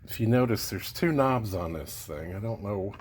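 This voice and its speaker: A man talking casually and unscripted as a vlogger showing gear, rambling and halting as he thinks aloud. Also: lowish voice, lively intonation, slight background noise. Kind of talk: casual unscripted show-and-tell.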